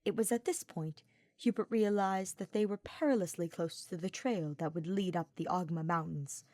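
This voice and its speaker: A woman's voice: fairly low pitch, moderate pace, warm tone, no echo. Woman narrating a story, very dry sound. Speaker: podfic narrator.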